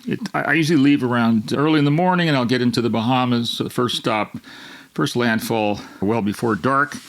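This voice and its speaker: Man, gravelly-edged, mid-pitched, host walking through a science story, loose and rambling. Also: a little fast. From Miami, Florida. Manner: rambling, with restarts and repetitions. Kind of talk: unscripted explanatory monologue.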